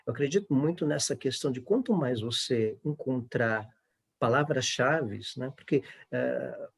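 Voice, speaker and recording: A man's voice: medium pitch, measured pace, vocal fry, slightly rough. A middle-aged Brazilian man speaking informally in a panel discussion, in a discursive way that often seeks agreement.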